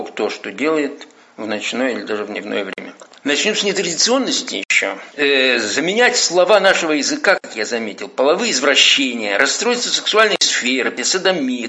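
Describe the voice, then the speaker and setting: A man, a priest, lecturing by phone into a radio studio. His voice is bright and swings widely in pitch, and he stretches out key words for emphasis. The line is muffled and dull. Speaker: priest guest. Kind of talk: sermon-like commentary.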